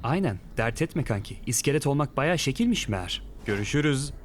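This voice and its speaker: A man voicing a chill, slangy customer turned skeleton in an animated comedy. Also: mid-range voice, fairly quick, noisy background, close to an everyday voice. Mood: chill, pleased. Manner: slangy, casual. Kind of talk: animated comedy dub acting.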